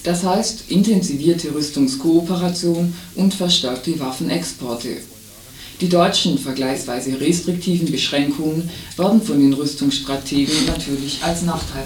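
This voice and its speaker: A man's voice: on the high side, normal speed, background noise. A man reads a scripted magazine report as a radio presenter.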